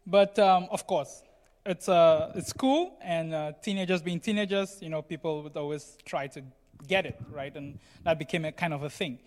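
A man's voice: on the high side, normal speed. A man preaching a sermon in a conversational, explanatory way, often checking in with his listeners. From Cameroon. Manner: explanatory, frequently checks in with "right?" and "you know". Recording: long hall reverb.

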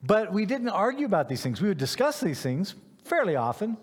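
Higher-voiced man preaching in a reverberant hall. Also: sing-song, brisk, husky. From Ghana, West Africa. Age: middle-aged. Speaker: pastor. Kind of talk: conversational sermon.

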